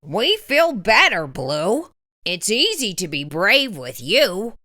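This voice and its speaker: A woman's voice: mid-range voice, sing-song, slow pace, bright, forceful tone, heavily acted. A woman voicing timid farm animals in a children's storybook, with pleading, sing-song rhyming delivery. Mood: putting on bravery. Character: timid farm animals: goat, hen, goose, cow.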